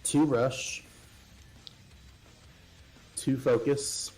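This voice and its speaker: A man playing a tabletop role-playing game, casually describing moves and thinking aloud in a halting way. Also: mid-range voice, fairly even intonation, a little mellow, faint music behind.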